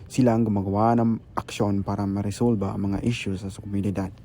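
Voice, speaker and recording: A Filipino man narrates a school explainer from a script. His voice is on the low side and distinctly mellow, with faint noise in the background. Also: little pitch movement, a little fast.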